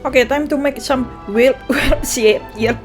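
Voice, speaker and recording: A young woman with an Indonesian accent giving casual, expressive and emotional gameplay commentary, mixing languages. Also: sits high, unhurried. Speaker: game streamer. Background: game music.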